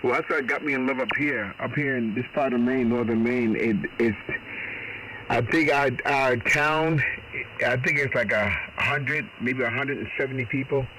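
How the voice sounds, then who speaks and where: A man's voice: mid-range voice, moderate pace, pushed and bright, slight background noise, poor audio. A middle-aged man, chatting on amateur radio in a folksy, rambling, mildly salty way. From Boston. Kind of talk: casual radio chat.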